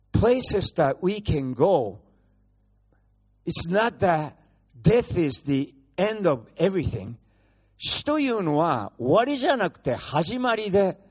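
A man whose voice sits unusually high for a man. He preaches as a guest in a church, blunt and brash, slipping in jokes as he switches rapidly between languages. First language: Japanese. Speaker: guest pastor. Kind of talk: bilingual sermon.

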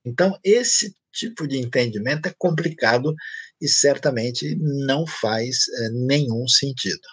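A man's voice: medium pitch, measured pace, a bit bright, breathy edge, dry recording. A man with a Brazilian Portuguese accent, a pastor answering questions in a church teaching session, explaining conversationally with anecdotes.

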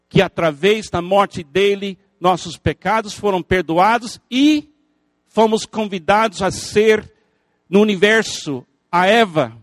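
Older preacher, high-voiced, non-native Portuguese. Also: male voice, no room sound. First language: English. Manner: earnest preaching with emphatic repetition and rising intensity; playful asides to the congregation. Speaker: pastor. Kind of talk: sermon.

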